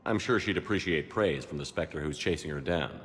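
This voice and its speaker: A man playing a steady, reassuring military commander in a video game, calm and measured. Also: very deep voice, slight background noise. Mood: businesslike.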